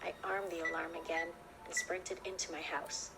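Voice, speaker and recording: Low female voice reading a creepy story, scripted narration, music loud underneath. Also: little pitch movement, normal speed, creaky, poor audio. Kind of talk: scripted first-person horror narration.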